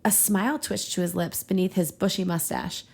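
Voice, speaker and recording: A woman with a noticeably creaky voice hosts a comedy read-aloud. She narrates fiction casually and expressively, with a playful touch. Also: lowish voice, moderate pace, darkish tone. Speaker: podcast host reading fiction aloud.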